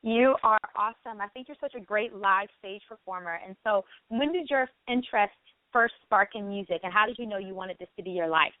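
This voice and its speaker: A woman's voice: medium pitch, fairly quick, no echo. A woman hosting and interviewing on an online radio talk show. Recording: thin phone-like line, dry.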